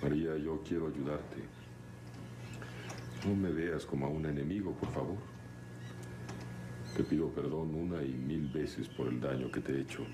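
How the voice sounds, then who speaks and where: An actor playing an earnest, remorseful landowner in a telenovela, gentle and solemn. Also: male voice, a bass voice, steady tone, normal speed, long pauses, noisy background. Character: remorseful, earnest landowner pleading with former love. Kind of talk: 1970s telenovela acting. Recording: old TV audio under loud music.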